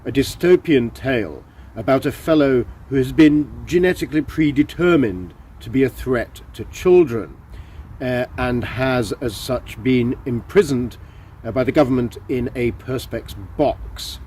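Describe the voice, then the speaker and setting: A man who is an author introducing and reading his own fiction in a measured, expressive storytelling manner. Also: medium pitch, a little hiss or hum. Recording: roomy, echoing sound.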